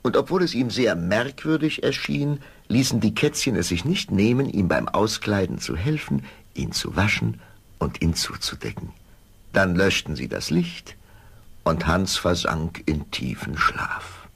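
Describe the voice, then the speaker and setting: A man's voice: low-pitched, expressive, a little slow, creaky, fairly bright tone, husky, heavily acted. A man narrating a fairy tale as the storyteller of a scripted radio play. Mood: storytelling.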